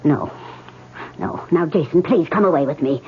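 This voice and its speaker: An actress playing a grave older woman who warns urgently of ghosts, speaking slowly and solemnly, in an old radio drama. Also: low-pitched, lively intonation, slight background noise. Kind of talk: 1970s radio drama acting. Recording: dull old radio broadcast.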